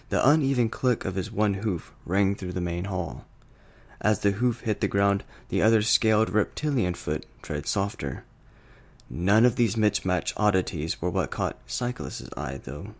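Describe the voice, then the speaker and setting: A man reading a fantasy story aloud as scripted narration. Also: low-pitched.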